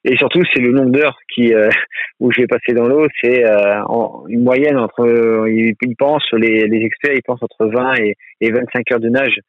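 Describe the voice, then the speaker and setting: A man's voice: medium pitch, steady tone, dry recording. A man in his thirties from northern France talks off the cuff in a radio interview. He halts often and restarts his sentences. The sound is a thin, dry, phone-like line. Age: 30s. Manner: halting, many fillers and restarts. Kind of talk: spontaneous interview answer.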